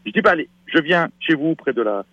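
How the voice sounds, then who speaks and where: A man in his fifties with a Belgian French accent, a stage actor chatting in an animated way as a radio guest. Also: on the high side, a little fast, vocal fry, breathy edge, dry recording, lo-fi sound. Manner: animated and chatty, warmly promotional. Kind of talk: lively radio interview.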